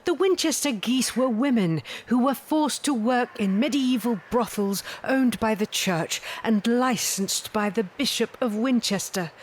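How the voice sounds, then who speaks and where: A woman playing an imperious, aloof reptilian lady detective of Victorian times in an audio drama, haughty, measured and commanding. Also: mid-range voice, slight background noise, close to an everyday voice, rough recording. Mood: stern, solemn.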